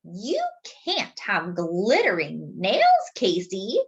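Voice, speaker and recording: A woman voicing a bossy, disapproving young girl, an older sister in a storybook, speaking with drawn-out emphasis. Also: medium pitch, huge pitch swings, husky, lo-fi sound, full character voice. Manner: emphatic, drawn-out scolding and appeals.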